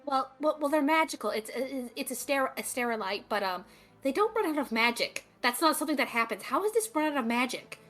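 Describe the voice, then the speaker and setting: A woman's voice: high voice, animated, fairly quick, a bit bright, soft background music. A woman playing a shy, flustered female rock expert who stammers, in an improvised tabletop game. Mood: flustered, timid. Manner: stammering, timid.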